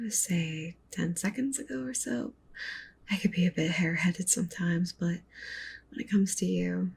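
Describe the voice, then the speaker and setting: A woman playing a doting, possessive wolf witch girlfriend in an ASMR roleplay, speaking softly and breathily, often in a whisper. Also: on the low side, vocal fry, slight background noise. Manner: cooing, doting, with possessive menace. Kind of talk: ASMR roleplay, intimate soft speech and whispering.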